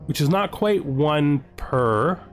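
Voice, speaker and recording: A man's voice: fairly high pitch, expressive, slow. Man thinking aloud over game music. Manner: thinking aloud, deliberating choices. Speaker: video game streamer. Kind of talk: casual gameplay commentary, reading game text aloud.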